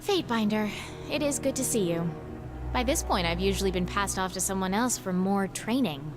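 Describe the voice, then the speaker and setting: A man reading a video game's dialogue aloud, voicing a hopeful, haunted young woman mage in a soft, breathy voice. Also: high-pitched, expressive, moderate pace, over music, pitched above the performer's own voice.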